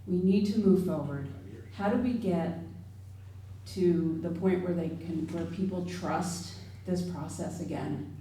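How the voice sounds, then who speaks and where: A woman's voice: fairly low pitch, unhurried, dark tone. A woman in the audience asking an earnest, pressing question about community trust. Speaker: audience member. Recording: very echoey hall from far off.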